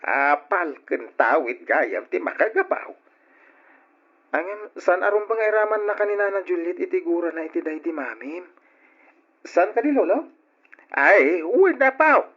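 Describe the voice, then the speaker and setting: A man playing a kindly, protective old grandfather, exclamatory and drawn-out, in old radio drama style. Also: sits high, lively intonation. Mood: indignant. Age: older. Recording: dull lo-fi old radio recording.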